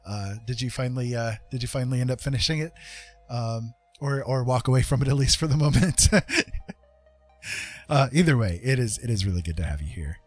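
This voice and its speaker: A man's voice: medium pitch, brisk, faint music behind. A man hosting a livestream, chatting casually and personably to viewers in a rambling way. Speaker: livestream host.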